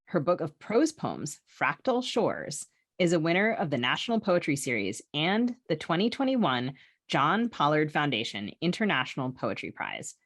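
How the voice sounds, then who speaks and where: Female podcast co-host, low voice, dry close recording, wry and conversational. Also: sing-song, moderate pace, fairly bright tone, no echo.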